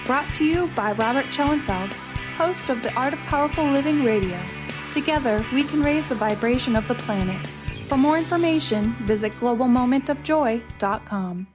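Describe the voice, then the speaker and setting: High-voiced woman reading an upbeat promo. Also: lively intonation, normal speed. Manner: upbeat, inspirational delivery. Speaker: announcer. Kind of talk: scripted promo read. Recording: voice over clear music bed. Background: music bed.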